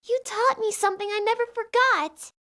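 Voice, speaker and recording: A woman's voice: very high voice, fairly even intonation, normal speed, bright, forceful tone. A woman playing an earnest, affectionate, sheltered young princess with a girlish manner in a video game fandub.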